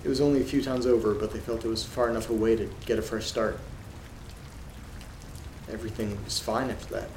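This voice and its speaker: A man acting in a horror audio drama as an uneasy letter reader, telling things in a subdued, measured way with dramatic pauses. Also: medium pitch, gravelly, lightly acted. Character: uneasy man reading creepy letters aloud alone. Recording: roomy sound with rain and noise. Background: rain and thunder ambience.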